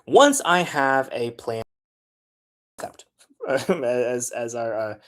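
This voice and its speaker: A man's voice: medium pitch, animated, slow, fairly bright tone. A man giving a casual how-to talk about making transit videos, speaking loosely with many filler sounds.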